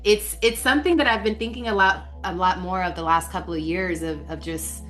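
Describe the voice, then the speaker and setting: A reflective, articulate woman with a lowish voice talks as a podcast guest, breaking into quick bursts as her thoughts build. Faint music sits beneath her speech. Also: a bit bright. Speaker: podcast guest, leadership coach with a doctorate. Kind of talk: reflective interview talk.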